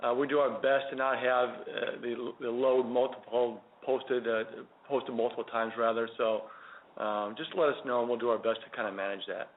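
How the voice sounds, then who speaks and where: A man's voice: medium pitch, fairly even intonation, moderate pace, a little hiss or hum. Conciliatory man on a tinny phone line. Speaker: company representative answering callers. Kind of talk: unscripted answers on a conference call. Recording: tinny telephone conference line.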